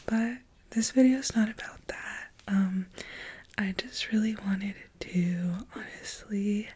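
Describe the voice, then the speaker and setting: A thirty-year-old woman speaking softly and intimately, close to the mic, in a very breathy, airy voice. She talks slowly and rambles, with giggles here and there. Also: medium pitch. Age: 30s. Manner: rambling, soft-spoken, giggly. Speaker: ASMR creator. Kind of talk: soft-spoken ASMR chat.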